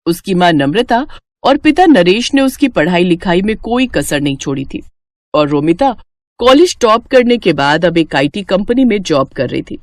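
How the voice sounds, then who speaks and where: A woman's voice: lowish voice, very animated, moderate pace, no room sound. A woman with an Indian accent narrating a scripted moral story as a storyteller.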